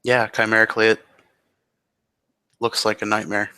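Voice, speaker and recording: A man playing a calm seer of hidden nightmares in a roleplay, talking in a measured, matter-of-fact way. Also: lowish voice, smooth. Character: calm man who sees eerie hidden nightmare visions.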